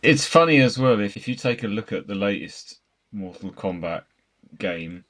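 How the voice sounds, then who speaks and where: A man with a low voice talks casually as a podcast panellist. He gives his opinions descriptively, with emphatic wording. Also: animated, normal speed, fairly bright tone.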